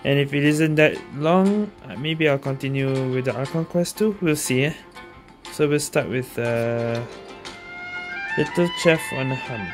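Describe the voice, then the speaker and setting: A man's voice: medium pitch, unhurried. A man giving casual commentary as he plays through a video game. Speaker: gaming streamer. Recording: game music behind voice.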